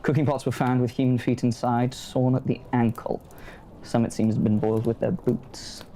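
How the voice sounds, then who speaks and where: A British actor playing a stiff, proud upper-class Victorian gentleman with a formal, clipped manner. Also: medium pitch, steady tone, normal speed, slight background noise, natural voice. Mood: haughty. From United Kingdom.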